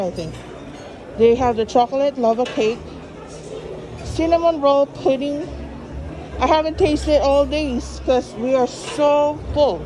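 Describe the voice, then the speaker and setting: A woman's voice: sits high, rough recording. A woman giving casual vlog commentary, chatty and enthusiastic, often repeating herself, filmed on a handheld phone. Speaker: vlogger. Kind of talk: casual vlog commentary while touring a buffet. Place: large busy buffet restaurant, indoors. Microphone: handheld phone camera. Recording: busy indoor restaurant crowd and music. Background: diners' chatter and background music.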